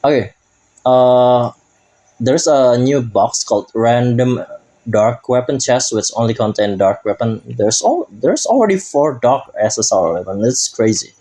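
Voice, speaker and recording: A man runs through a game update in a relaxed, unhurried way. His English is halting, and he stops often to search for the right word. His voice sits in a mid range. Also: medium pitch, poor audio. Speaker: gaming YouTuber. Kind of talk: casual game update commentary.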